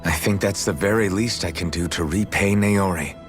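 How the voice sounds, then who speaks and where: A male actor plays an earnest young hero in a game story. The voice is notably deep, with plain-spoken, sincere delivery. There is some music underneath. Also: moderate pace, lightly acted. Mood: earnest, hopeful. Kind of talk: dramatic video game cutscene acting. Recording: music playing behind the voice.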